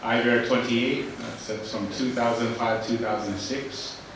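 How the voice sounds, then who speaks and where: A man's voice: medium pitch, steady tone, unhurried. A man born in Thailand and raised in the US, introducing himself on a business panel in a friendly, brief way. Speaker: panelist, head of international business. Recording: echoey hall from far off, hiss.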